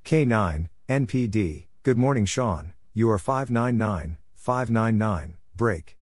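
A man reading radio contact exchanges aloud as a practice narrator, spelling out call signs and numbers in a measured way. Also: on the low side, animated, dry recording. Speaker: Morse code practice narrator.

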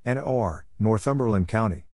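A man with a somewhat low voice reads from a script for a practice drill. He delivers short, separate items, either spelling out letters one by one or announcing place names as an instructor would. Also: animated, brisk, noisy background. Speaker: Morse code practice instructor.

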